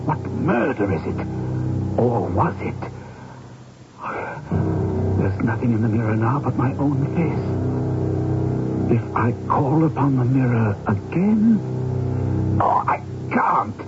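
An actor playing a troubled Victorian writer who sees visions, speaking in agitated, theatrical old radio drama style. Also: male voice, medium pitch, very animated, takes it slowly, pauses often. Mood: shaken. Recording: dull old radio broadcast with music.

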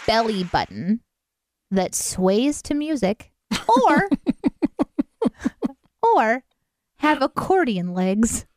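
A woman's voice: on the high side, very animated, drawn-out words, long pauses, no room sound, studio quality. A woman co-hosting a live stream in casual, giggly chat. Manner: chatty, giggly.

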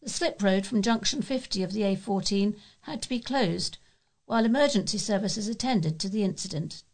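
A woman's voice: mid-range voice, expressive, normal speed, a little mellow. A woman with a British accent reads local news articles aloud as a volunteer newsreader.

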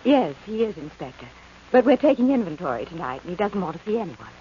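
A woman's voice: mid-range voice, sing-song, fast talker, warm tone, close to an everyday voice. Woman acting an icy snippy secretary, prim and clipped, muffled noisy old radio. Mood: cool, guarded. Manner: cold, clipped. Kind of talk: 1940s American radio drama acting.

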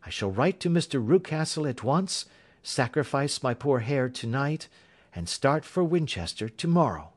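A man voicing an earnest young woman, brisk, raised pitch, audiobook. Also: big pitch swings. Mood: relieved. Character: brisk young woman governess, anxious then relieved. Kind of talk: audiobook character reading.

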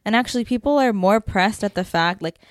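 A young woman co-hosting a podcast in lively casual chat, often talking over her partner. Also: mid-range voice, a little fast, no room sound, clean studio sound. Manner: animated, talks over co-host. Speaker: podcast co-host.

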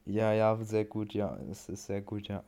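A man's voice: lowish voice, flat delivery, normal speed, mellow, smooth. A young man co-hosting a podcast, chatting casually with a joking, teasing manner. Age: teenager or young adult. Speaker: podcast co-host. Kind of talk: casual chat.

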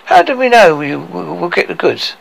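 Guy putting on a brash little dwarf dealmaker for a story, pushy, high and scratchy voice. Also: very animated, moderate pace, gravelly, heavily acted. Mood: insistent. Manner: pushy, wheeling-dealing. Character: brash scheming male dwarf bargaining for ransom.

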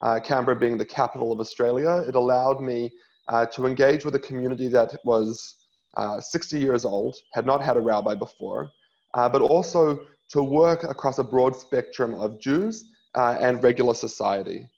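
A man in his thirties from New Zealand, a rabbi telling his life story as an interview guest in an earnest way, often pausing on uh. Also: medium pitch, gravelly, a little mellow, lo-fi sound. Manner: earnest, many filler uhs, restarts.

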